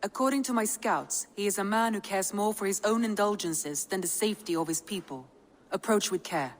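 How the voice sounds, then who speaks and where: A woman playing a cautious, shrewd counsellor in a game cutscene, speaking in measured, formal lines. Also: medium pitch, in a large hall, natural voice. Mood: cautioning. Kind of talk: dramatic game cutscene acting.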